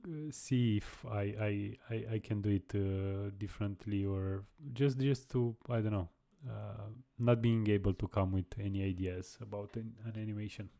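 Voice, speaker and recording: Brief: young male developer, mellow low voice, halting relaxed chat with viewers. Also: gravelly. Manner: halting, many restarts and repeated words. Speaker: live-streaming developer. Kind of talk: casual live-stream chat answering viewers.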